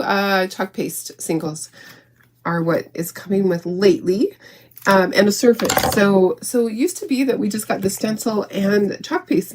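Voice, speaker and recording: A middle-aged woman with a squeaky voice, recovering from illness, demonstrating a craft project in a rambling, giggly, chatty way. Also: a little mellow. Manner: rambling, self-interrupting, giggly. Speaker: craft show host.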